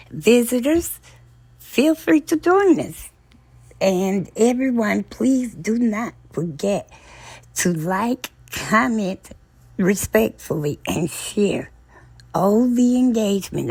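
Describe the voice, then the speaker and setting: A woman hosting a book channel, retelling a novel's plot in a relaxed, storytelling way, her voice raspy and hoarse from talking a long time. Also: mid-range voice, no echo. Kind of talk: casual book recap.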